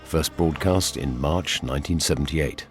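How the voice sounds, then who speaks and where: An older British man presents a radio documentary in scripted narration. His voice is deep, with faint music underneath. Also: normal speed.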